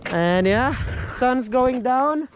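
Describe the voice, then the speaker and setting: A man's voice: very high voice, lively intonation, extremely slow, crowd noise. A young man with a sore throat, chatting casually to viewers as he vlogs from a frozen lake with a camera at arm's length. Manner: upbeat, chatty, hypes viewers. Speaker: fishing vlogger. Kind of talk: casual vlog narration. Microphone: camera held at arm's length. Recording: outdoor wind and ambient noise.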